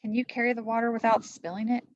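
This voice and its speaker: A woman's voice: a little high, flat delivery, quick pace, dry recording, highs cut off, voice pushed up, lightly acted. A woman reading aloud as a cautious, worried, earnest young boy in a storybook, puzzled and careful.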